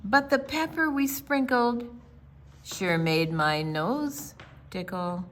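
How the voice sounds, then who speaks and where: A woman with a fairly high voice reads a rhyming picture book to children. She takes it very slowly, leaning on key words and pausing for drama. Her delivery is expressive and theatrical, like a librarian at storytime. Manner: theatrical, with dramatic pauses, gasps and emphasis.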